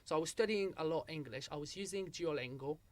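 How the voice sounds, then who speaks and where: A man's voice: a little high, fast. Young Algerian man telling his story. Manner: storytelling with many restarts and repeated "so what happened?". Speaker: guest. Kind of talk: casual interview chat.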